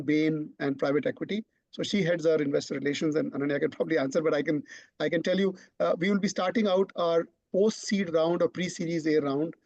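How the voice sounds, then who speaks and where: Man, gravelly voice, highish pitch, business explainer, video call, dry lo-fi sound. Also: a little mellow, no echo. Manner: repeats words while thinking, confident explaining. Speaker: startup CEO presenting. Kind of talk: online business Q&A answer. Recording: dull lo-fi online call audio.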